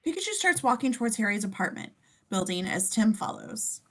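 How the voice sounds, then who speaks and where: Woman narrating stage directions, lively pitch. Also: a little fast, a little hiss or hum. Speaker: scene description reader. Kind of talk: reading screenplay stage directions aloud.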